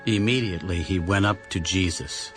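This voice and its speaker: A man's voice: sits low, normal speed. A man narrating a dramatized audio Bible in a scripted storytelling style. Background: music.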